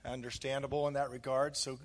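A hoarse man speaking as a pastor, giving casual church announcements with frequent fillers. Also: medium pitch, level tone, brisk. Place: church sanctuary.

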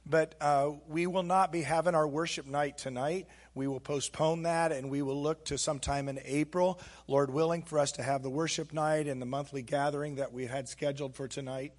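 A hoarse male pastor welcomes his church in a loose, filler-laden way. His voice sits slightly higher than most men's. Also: steady tone, normal speed, hardly any pauses. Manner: casual, with frequent fillers. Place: church sanctuary.

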